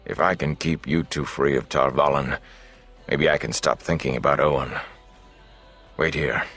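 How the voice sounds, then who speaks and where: A man voicing an old, gruff, world-weary travelling minstrel for a fantasy audiobook, with a deep gravelly voice. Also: fairly even intonation, normal speed, fairly bright tone, faint music behind, full character voice. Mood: grave, regretful. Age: older.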